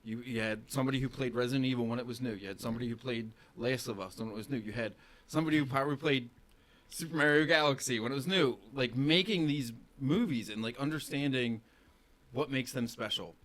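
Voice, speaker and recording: A man's voice: mid-range voice, fast, fairly bright tone. A man co-hosting a podcast, giving opinionated casual commentary in an emphatic, slangy and blunt way. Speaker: podcast co-host.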